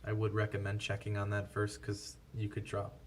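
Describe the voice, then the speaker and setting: A man's voice: fairly low pitch, monotone, normal speed. A man giving a casual, unscripted product review as a shop representative, in a matter-of-fact, conversational way. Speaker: scanner shop representative presenting a product comparison. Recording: distant mic with faint background noise.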